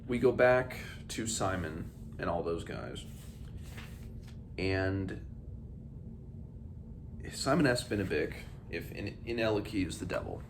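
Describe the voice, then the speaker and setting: Guy with a deep low voice doing a book read-along, informal and a bit halting, noticeable noise behind him. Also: animated. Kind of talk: book discussion with read-aloud passages. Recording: clearly noisy background ambience.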